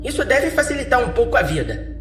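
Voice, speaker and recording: A man's voice: very high-pitched, huge pitch swings, brisk, fairly bright tone, pitched above the performer's own voice, exaggerated voice. Man as a coaxing young woman student, distant. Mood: casual, coaxing. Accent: Brazilian. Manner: casual, coaxing. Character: encouraging young female medical student. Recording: echoey, distant, music underneath.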